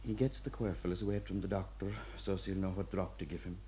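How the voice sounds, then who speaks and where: An actor playing an older Irish prison warder, gruff yet fatherly, lecturing wryly in a radio play. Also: deep voice, fairly even intonation, fast, warm tone, slight background noise, lightly acted. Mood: grimly matter-of-fact.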